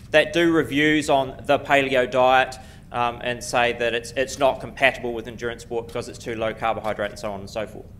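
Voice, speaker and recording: A middle-aged man with a New Zealand accent, giving a quick, self-deprecating conference lecture full of ums and restarts, speaking into a lectern mic in a lecture room. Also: medium pitch, a bit bright, a little hiss or hum. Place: lecture room before a seated audience. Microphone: podium mic at a lectern.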